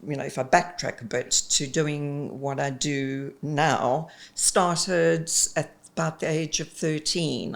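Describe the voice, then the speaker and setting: Gravelly South African woman in her fifties. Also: low-pitched. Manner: chatty and expressive, with frequent ums. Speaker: executive coach. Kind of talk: casual interview chat.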